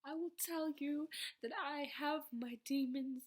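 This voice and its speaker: A young woman performing slam poetry in an emotional, vulnerable, rhythmic delivery that drops into hushed phrases. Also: high-pitched, unhurried. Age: young adult. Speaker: slam poet.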